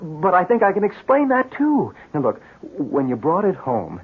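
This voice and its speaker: An actor playing an anxious friend who reasons and pleads haltingly and earnestly in a 1970s radio drama. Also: male voice, high-pitched, huge pitch swings, breathy edge, full character voice. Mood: pleading, reasoning. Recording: dull lo-fi 1970s radio recording.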